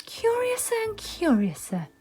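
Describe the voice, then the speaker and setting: A woman's voice: very high-pitched, huge pitch swings, unhurried, warm tone, soft background music, pitched above the performer's own voice, heavily acted. A British woman voicing a curious, polite young girl in a storybook, wondering and exclaiming to herself. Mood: puzzled, eager.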